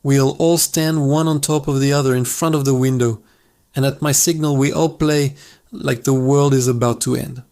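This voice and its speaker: A man doing a hoarse old guard dog. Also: medium pitch, steady tone, moderate pace, darkish tone, dry recording, clean studio sound, lightly acted. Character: old male guard dog, weary but determined.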